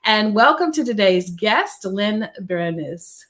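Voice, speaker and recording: A woman's voice: mid-range voice, animated, takes it slowly, a bit bright. Upbeat woman hosting a live interview. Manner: upbeat, welcoming, brief acknowledgments. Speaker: podcast host.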